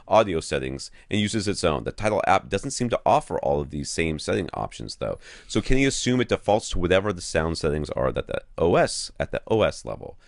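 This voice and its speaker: A man's voice: sits low, dry recording, studio quality. A man co-hosting a podcast, chatting casually about tech in a rambling, informal way and often cutting into his own sentences. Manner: rambling, self-interrupting, informal. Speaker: podcast co-host. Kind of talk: casual tech talk, reading out listener questions.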